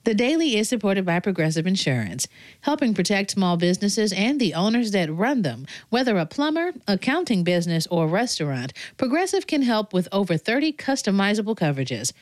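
A woman's voice: medium pitch, sing-song, a little fast, no echo. A woman reading scripted sponsor messages as an announcer. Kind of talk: scripted ad read.

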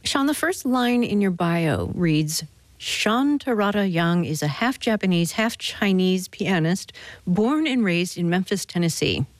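A woman's voice: fairly low pitch, animated, a little slow, no echo. A woman radio host presenting and interviewing a musician in a scripted, on-air style.

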